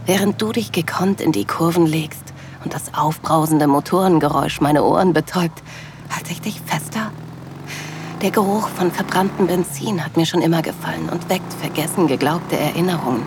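A woman playing a loving, sensual middle-aged wife, narrating an intimate audio drama in a soft, dreamy manner. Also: sits low, normal speed, noisy background. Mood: dreamy, blissful.

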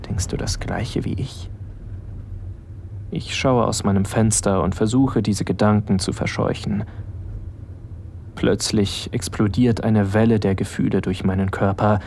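An actor playing an aroused, hesitant young man in love with his best friend, narrating an intimate audio drama in a hushed, breathy voice that often slips into whispers. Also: fairly low pitch, a little slow, a little hiss or hum. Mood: nervous, yearning.

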